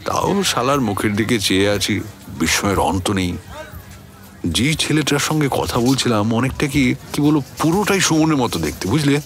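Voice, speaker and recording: A man playing a puzzled, suspicious narrator of a radio story, conversational and expressive, with a West Bengal Bengali accent. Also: mid-range voice, normal speed, faint music behind, lightly acted. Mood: bewildered, musing. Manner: conversational, expressive, self-questioning. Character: puzzled, suspicious man recounting a baffling encounter. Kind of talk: dramatized first-person storytelling for radio.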